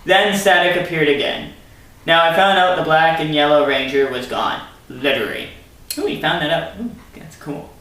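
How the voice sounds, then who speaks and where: A man's voice: on the high side, moderate pace, pushed and bright. A man reading a story aloud theatrically, breaking in with sarcastic asides as commentary. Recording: very echoey room, distant mic.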